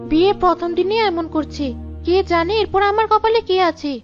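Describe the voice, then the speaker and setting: A very high, plaintive young bride from a cartoon, voiced by a woman, long-suffering and politely reproachful. The recording is dull, with music underneath. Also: fairly quick. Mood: plaintive, pleading. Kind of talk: cartoon dialogue acting. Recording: dull lo-fi sound with music behind.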